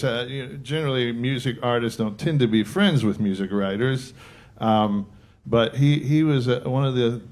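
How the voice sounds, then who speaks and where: A man gives an informal eulogy into a stage mic at a music club. He stumbles and restarts, fills pauses with ums and lets out nervous laughs. His pitch sits in the middle range. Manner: halting, many restarts and fillers, nervous laughs. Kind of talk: informal memorial speech.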